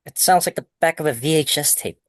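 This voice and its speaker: A man's voice: fairly high pitch, fast talker, dry recording, clean studio sound, close to an everyday voice. A man voicing a curt, brooding, irritated man who swears at a table read.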